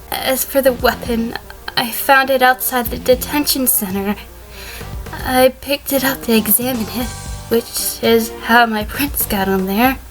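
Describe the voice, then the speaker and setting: Woman acting high-voiced, prickly defendant on the stand. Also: natural voice, poor audio. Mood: uneasy testimony. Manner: hesitant stammers mixed with prickly defiance. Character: defiant, evasive female defendant on the witness stand. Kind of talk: audio drama courtroom acting.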